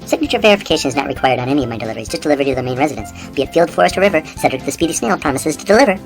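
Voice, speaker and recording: A woman's voice: deep voice, animated, soft background music. A woman playing a speedy, confident male snail mail carrier in a children's audio drama. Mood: matter-of-fact, brisk. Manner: rapid-fire, eager, salesman-like.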